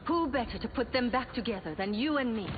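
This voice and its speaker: An actress playing a bold, self-assured heroine in a video game. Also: female voice, fairly high pitch, lively intonation, quick pace, reverberant, narrow, tinny audio, background noise, close to an everyday voice. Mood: confident. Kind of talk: video game hero banter.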